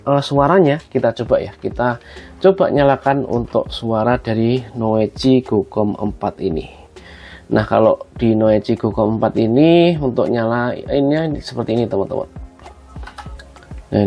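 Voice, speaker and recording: A man's voice: mid-range voice, warm tone. A man with a Javanese-region Indonesian accent gives a casual hands-on tutorial, explaining things to viewers as a product reviewer talking to friends. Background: background music.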